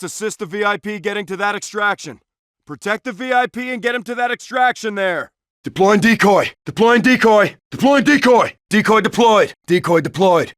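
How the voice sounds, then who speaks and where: Commanding SWAT officer, video game callouts, very high male pitch, clipped authoritative delivery, close dry sound. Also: a bit bright, dry recording. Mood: firm, directing, then terse, focused. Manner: clipped, urgent, authoritative barks. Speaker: police officer player character.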